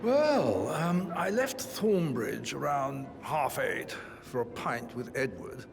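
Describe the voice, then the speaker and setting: An actor voicing a smug, cynical son of a rich English family in a video game, sardonic and flippant. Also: male voice, medium pitch, sing-song, slow, vocal fry, heavily acted. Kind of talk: video game cutscene acting. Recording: music under the voice.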